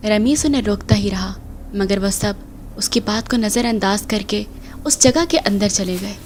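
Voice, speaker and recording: A woman's voice: medium pitch, moderate pace. A woman reading scripted narration for a horror audio drama. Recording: noisy track with loud ambience.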